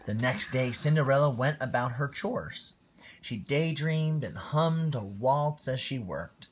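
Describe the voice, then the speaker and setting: A man reading a bedtime story aloud to his child on a phone livestream, expressive with quiet asides. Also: mid-range voice, unhurried, a little hiss or hum.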